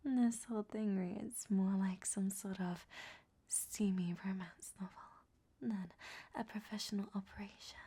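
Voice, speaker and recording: A woman playing a flirtatious, cunning ancient vampire in a roleplay audio drama, teasing and sardonic in a soft, breathy half-whisper. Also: mid-range voice.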